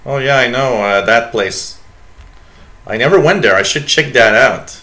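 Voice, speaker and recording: French-Canadian man in his forties, host of a live radio stream; bright, forward voice, Quebec French accent, loose and rambling, full of fillers. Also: mid-range voice, expressive, measured pace. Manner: rambling, reads chat messages aloud, many fillers. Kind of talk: casual live-stream chat.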